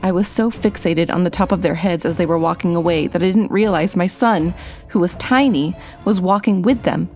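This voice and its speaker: A woman's voice: on the low side, normal speed, a little mellow, faint music behind. A woman reading a first-person scary story as scripted narration.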